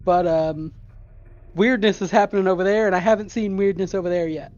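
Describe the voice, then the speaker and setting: High-pitched man, slightly muffled. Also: steady tone, brisk, a little mellow. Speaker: tabletop game player. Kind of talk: casual in-game discussion. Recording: dull audio, faint music behind.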